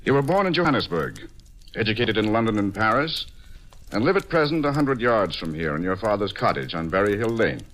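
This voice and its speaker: An actor playing a suave, condescending middle-aged doctor in a 1940s radio drama. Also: male voice, lowish voice, very animated, brisk, fairly bright tone. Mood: smug. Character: smug, controlling sanitarium doctor, coolly condescending.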